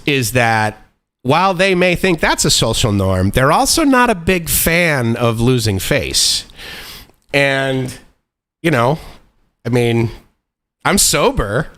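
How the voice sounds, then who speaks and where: A man's voice: medium pitch, fairly bright tone. A man in his forties hosting a live stream, talking in a loose, rambling, self-deprecating way with lots of fillers and chuckles. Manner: loose, meandering with many fillers. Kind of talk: casual live-stream monologue.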